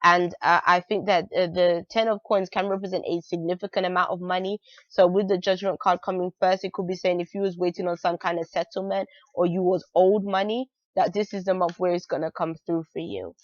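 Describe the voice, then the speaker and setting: A woman with a cold and a raspy voice, chatting casually through a monthly tarot reading, full of "um" and "like". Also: on the low side, flat delivery, brisk, hardly any pauses, no echo, highs cut off. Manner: chatty, many fillers like "um" and "like". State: has a cold, raspy voice, clearing throat. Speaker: tarot reader.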